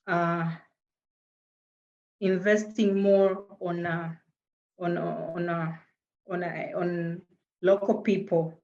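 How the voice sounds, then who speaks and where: A woman from Tanzania with a Tanzanian accent speaks over a dull, lo-fi call line. Her voice sits low and stays quite flat in pitch. She talks earnestly as a panelist and restarts often. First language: Swahili. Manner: earnest, hesitant with frequent repeated words and fillers. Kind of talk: panel discussion answer.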